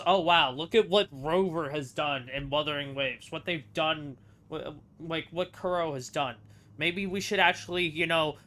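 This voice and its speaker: Bright-voiced man doing gameplay commentary. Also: a little high. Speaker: gaming video commentator. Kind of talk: casual gameplay reaction commentary. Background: faint game music.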